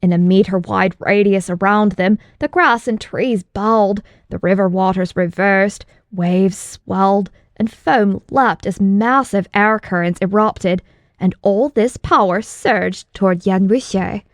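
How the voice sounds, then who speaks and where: A woman reading scripted fantasy narration as an audiobook narrator, in a measured, dramatic storytelling manner. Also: mid-range voice, no echo, clean studio sound.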